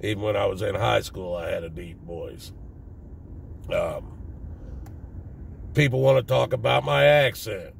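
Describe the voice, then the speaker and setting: A man in his fifties with a Southern US accent and a deep, raspy smoker's voice, talking casually and plainly to viewers. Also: unhurried, long pauses. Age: 50s. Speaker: vlogger. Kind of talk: casual personal talk to viewers. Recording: distant mic with faint background noise.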